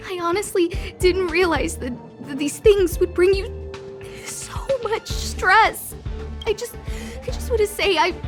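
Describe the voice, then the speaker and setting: A woman's voice: extremely high pitch, fairly bright tone. A woman playing an earnest, dramatic young noblewoman boss in an anime-style video game, with emphatic, theatrical delivery. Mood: tearful, apologetic.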